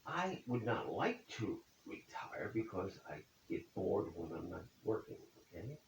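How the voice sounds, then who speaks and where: A man's voice: lowish voice, sing-song, measured pace. A man in his seventies answering interview questions about his business in a folksy way, often ending points with "okay?". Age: 70s. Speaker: accountant, business owner. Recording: roomy echo, distant mic, faint hiss.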